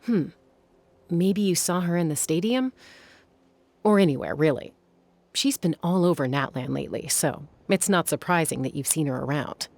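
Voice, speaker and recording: A woman's voice: sits low, expressive, normal speed, darkish tone. A woman playing a cool, perceptive young female warrior in an anime-style video game, casual and self-assured. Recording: music playing behind the voice.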